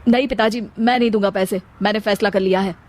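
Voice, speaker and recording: A woman's voice: medium pitch, fairly even intonation, quick pace, darkish tone, close to an everyday voice. A woman voicing a stubborn young male shopkeeper son, firm and refusing, in a moral story. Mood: defiant.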